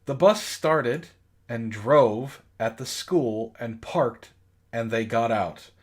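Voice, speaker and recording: A man's voice: mid-range voice, takes it slowly, husky. A man hosting a casual comedic read-aloud, breaking off to react with amused exasperation and swearing. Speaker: host of a comedy book club reading. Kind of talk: casual read-aloud of bad fan fiction with amused commentary.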